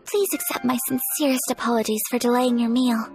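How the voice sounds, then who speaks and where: An actress playing a shy, courteous young noblewoman in a video game, soft-spoken and formal. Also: a little high, steady tone, fairly quick, gravelly. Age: young adult. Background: game music.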